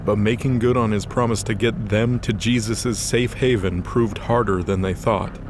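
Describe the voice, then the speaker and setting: A man's voice: on the low side, steady tone, moderate pace, noisy background. A man reading scripted narration for an audio drama in a dramatic storytelling style. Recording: faint music behind the voice.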